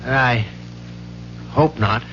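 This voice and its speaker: An actor playing a worried, hesitant male professor in a 1970s radio drama, speaking haltingly. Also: medium pitch, music in the background. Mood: uneasy. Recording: noisy, dull 1970s radio broadcast.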